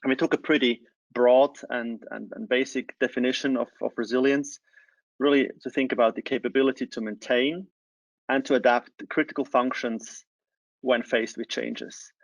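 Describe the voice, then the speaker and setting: Man, mid-pitched, halting webinar presenter, close and dry on lo-fi call audio. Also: steady tone, creaky, no room sound. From Switzerland. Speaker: change management consultant, webinar presenter. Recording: dull, lo-fi online call audio.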